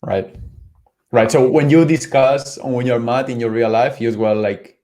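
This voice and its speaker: A man's voice: mid-range voice, fairly even intonation, normal speed, a little mellow. Casual man host, filler-heavy questions. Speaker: podcast host. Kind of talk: casual interview chat.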